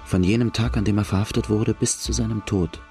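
Rueful outlaw narrator, very low pitch, creaky voice, plain reflective storytelling, radio drama. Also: male voice, fairly even intonation, a little fast, darkish tone, faint music behind. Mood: sombre, reflective. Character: rueful male outlaw recounting his hard childhood.

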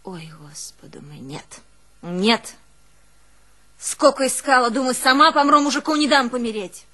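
A devoted, long-suffering village woman speaks. Her pace is very slow, and her delivery is plaintive and folksy, like a storyteller in a one-woman stage drama. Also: sits high, lively intonation, bright, forceful tone, heavily acted. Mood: desperate, determined. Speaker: actress in a one-woman show. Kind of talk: theatrical monologue with drawn-out folk singing.

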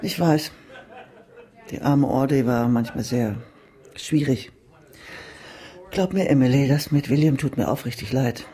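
A woman's voice: rumbling low, a little slow, long pauses, mellow, husky, exaggerated voice. A woman playing a fussy, sympathetic neighbor in a German radio drama, solicitous and apologetic in manner. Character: fussy, sympathetic middle-class woman neighbor addressing a girl.

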